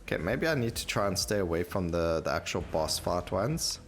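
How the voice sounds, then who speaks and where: A man's voice: low-pitched, sing-song, fairly quick. A man giving casual gameplay commentary, rambling and thinking aloud as he plays. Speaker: gaming video host. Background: game music.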